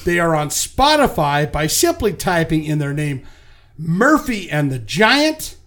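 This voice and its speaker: A man's voice: on the high side, big pitch swings, a little slow, fairly bright tone. A man hosting a casual podcast interview, hyped and jokey, swearing freely. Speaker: podcast host.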